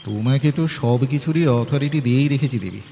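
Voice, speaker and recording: A man's voice: mid-range voice, fairly quick, mellow, a little hiss or hum, lightly acted. A man with a Bengali accent playing a smitten, self-important business owner in an audio drama, theatrical and poetic. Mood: indulgent, boastful. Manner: theatrical, poetic, lapses into florid English. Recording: long reverb, faint music behind. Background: faint music.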